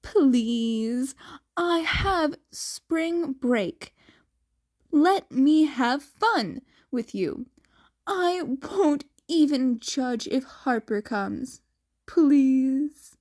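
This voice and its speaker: A woman's voice: high voice, expressive, extremely slow, a little mellow, full character voice. A woman voicing a pleading, nosy young boy, a pouty little brother in a fan fiction story.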